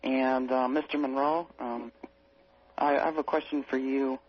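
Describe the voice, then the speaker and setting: A man phoning in to a radio show to ask a question, speaking carefully and haltingly. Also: mid-range voice, level tone. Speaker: radio phone-in caller. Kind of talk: asking a question on a call-in show. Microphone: telephone. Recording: muffled lo-fi phone call on radio.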